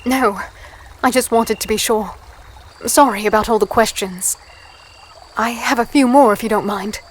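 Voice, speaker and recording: Woman acting guarded young heroine, higher voice, night ambience. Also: normal speed, a little hiss or hum, close to an everyday voice. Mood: polite, guarded. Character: guarded, curious young human woman, narrating her captivity. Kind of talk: first-person audio drama narration and dialogue.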